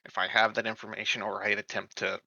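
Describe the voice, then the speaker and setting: A man's voice: lowish voice, little pitch movement, quick pace, gravelly, pushed and bright, no echo, highs cut off. A man chatting casually as a player in a tabletop roleplaying game.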